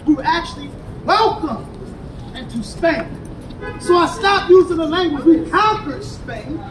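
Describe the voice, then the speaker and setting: An American man teaching a street crowd in call-and-response style, emphatic and rising to shouts. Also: extremely high pitch, lively intonation, measured pace. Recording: echoey and distant, with crowd and traffic. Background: crowd responses and passing vehicles.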